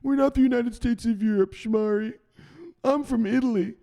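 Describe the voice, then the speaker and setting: Play a prickly, defensive European man as a host does a quick put-on voice, pitched far above his normal speaking voice into a thin, squeaky register. He protests in a huffy tone and sounds personally offended. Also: mellow, big-room sound. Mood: indignant.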